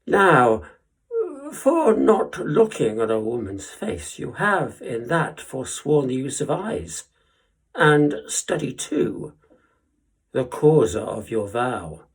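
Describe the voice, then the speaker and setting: Give this to a man acting as a witty, impassioned young lord of the classical stage. His voice is very husky and breathy, at a middle pitch. He declaims verse slowly, with deliberate pauses, swelling and hushing for emphasis. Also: sing-song, exaggerated voice. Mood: reasoning, persuasive. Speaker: Shakespearean actor performing a monologue. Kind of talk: theatrical classical verse monologue.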